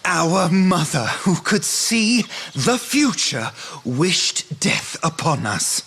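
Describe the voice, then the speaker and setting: An actor playing a bitter, proud young outcast brother in a fantasy game, scornful and dramatic with archaic phrasing. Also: male voice, a little high, sing-song, slow pace, a bit bright, slightly rough, heavily acted.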